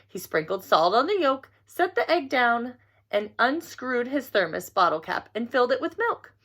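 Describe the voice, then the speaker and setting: Animated, bright-voiced woman reading a children's story. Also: a little high. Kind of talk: expressive read-aloud of a picture book.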